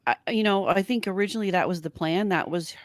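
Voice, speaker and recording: A woman co-hosting a casual fan discussion, thinking aloud in a halting way. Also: mid-range voice, no echo, studio quality. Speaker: podcast co-host.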